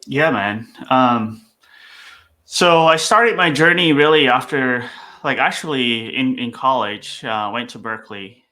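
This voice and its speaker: A man's voice: mid-range voice, measured pace, bright, forceful tone. A young man from Vietnam, a startup founder talking casually as a podcast guest, with many fillers and restarts. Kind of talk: casual interview talk.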